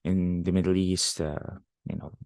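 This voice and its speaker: A man's voice: sits low, little pitch movement, normal speed, darkish tone, no room sound, studio quality. A young man from Syria, a startup founder telling his story as a podcast guest, talking casually with many fillers and restarts. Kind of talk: casual interview talk.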